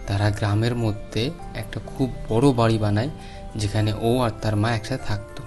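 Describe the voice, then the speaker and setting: A man's voice: lowish voice, steady tone, a little fast, lightly acted. A man narrating a cartoon moral tale in scripted storybook style. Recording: music behind the narration.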